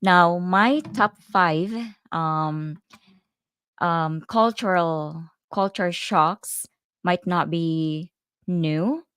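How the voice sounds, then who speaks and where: A woman's voice: fairly low pitch, extremely slow, fairly bright tone, clean tone, no room sound, studio quality. A woman from the Philippines with a Filipino accent, chatting casually to her viewers on a livestream and greeting the live chat as she goes. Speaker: host of a livestream.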